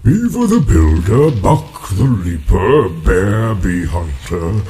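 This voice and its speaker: An ancient, ponderous tree giant for a fantasy audiobook. The voice is low and very drawn-out, yet it swells and dips widely as it muses warily. A clear bed of ambient sound lies behind it. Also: male voice, very animated, noisy background, exaggerated voice. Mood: reciting, pondering. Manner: slow, deliberate, drawn-out hums and musing repetitions. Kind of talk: audiobook character acting.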